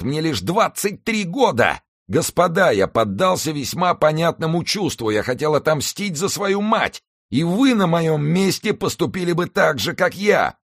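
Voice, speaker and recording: A frantic young man begs for his life in a high, wailing voice that lurches up and down. The pleas stretch out slowly and loudly, like a storybook villain undone by fear. The recording is dry and close. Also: no room sound. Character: desperate young man drowning, pleading, 23.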